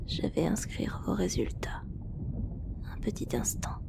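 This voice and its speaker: A woman playing an attentive, easily flustered android technician in a French ASMR roleplay, whispering politely with a creaky, breathy edge. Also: unhurried, natural voice. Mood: gentle, clinical.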